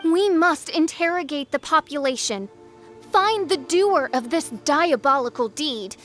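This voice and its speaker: High, grandiose teenage dark princess. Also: female voice, lively intonation, a little slow, faint music behind. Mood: ominous, dramatic. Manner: pompous, archaic, melodramatic. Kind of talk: video game character acting.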